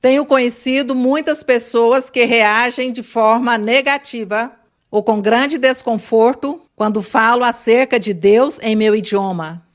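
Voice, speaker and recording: A woman interpreter reading a translated question aloud in a steady, measured way. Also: a little high.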